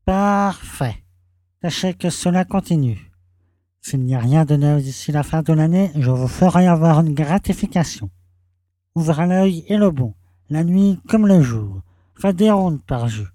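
An actor playing a self-important, peremptory village mayor in an audio drama. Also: male voice, a little high, animated, measured pace, warm tone, dry recording. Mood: commanding. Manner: peremptory, repeats his orders. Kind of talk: French audio drama acting.

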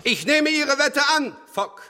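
Pompous, high-pitched English academy gentleman, reverberant. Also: big pitch swings, a little slow, a little hiss or hum, exaggerated voice. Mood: haughty challenge. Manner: pompous, theatrically condescending. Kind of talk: German radio drama acting. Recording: hall-like long reverb.

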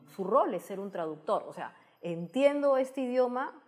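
A woman's voice: a little high, animated, moderate pace, darkish tone. Peruvian woman explaining in conversation. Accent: Peruvian Spanish. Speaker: interview guest, science communicator. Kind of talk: conversational interview answers.

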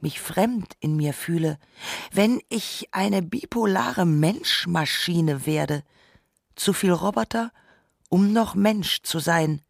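Gravelly woman reading a radio essay. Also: lowish voice, lively intonation, slow, no echo, clean studio sound. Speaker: radio play performer. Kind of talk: scripted literary radio essay, dramatic reading.

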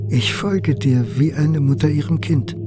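An actor playing the solemn, fatherly spirit of a medieval Dominican mystic in a radio drama, speaking in a measured, prophetic way. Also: a little high, lightly acted. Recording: music behind the voice. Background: music.

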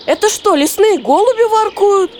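A woman's voice: extremely high pitch, lively intonation, normal speed, background noise. A woman playing a curious, wondering young boy in a children's educational audio play. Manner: inquisitive, wondering.

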